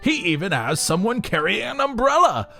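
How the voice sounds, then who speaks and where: A man's voice: high voice, sing-song, moderate pace, gravelly, sharp tone, faint music behind, voice pushed up, exaggerated voice. A man playing a simple, jealous stonecutter in a folk tale, theatrical and emphatic as he craves more. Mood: jealous longing. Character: simple, jealous, ever-grasping male stonecutter wishing for power.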